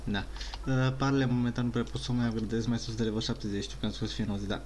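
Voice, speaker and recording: A man's voice: mid-range voice, little pitch movement, very fast, a little mellow. A man with a Romanian accent giving casual, rambling gameplay commentary, mixing in English phrases. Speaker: gaming YouTuber. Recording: noisy, keyboard typing.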